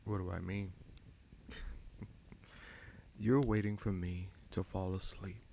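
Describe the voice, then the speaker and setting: A man playing a scheming sorcerer prince in a fantasy audio roleplay, smug and coolly menacing, with a gravelly voice and slow, deliberate, taunting delivery. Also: sits low, fairly even intonation, dark tone, a little hiss or hum, full character voice. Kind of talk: fantasy audio roleplay, dramatic monologue addressed to listener.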